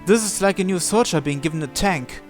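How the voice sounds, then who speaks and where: Higher-pitched man reading a visual novel. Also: a little fast, faint music behind. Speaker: host of a visual novel playthrough series.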